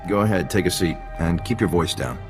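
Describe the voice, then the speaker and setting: A wry intelligence agent in a video game. His voice is deep and his manner casual and unhurried. It carries long reverb, with music behind it. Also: lively intonation. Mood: wry, relaxed. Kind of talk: video game cutscene dialogue.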